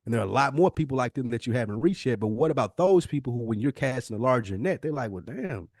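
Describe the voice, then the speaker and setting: A man's voice: mid-range voice, animated, quick pace, dry recording, clean studio sound. An American man co-hosting a podcast, talking casually in long, emphatic, opinionated explanations. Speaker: podcast co-host. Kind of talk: casual conversational discussion.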